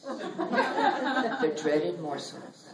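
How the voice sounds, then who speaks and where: A middle-aged woman giving a live poetry reading in measured, deliberate phrases with dramatic pauses. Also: a little high, huge pitch swings. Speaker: poet reading own work. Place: library reading room. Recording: roomy, noisy recording, distant from mic.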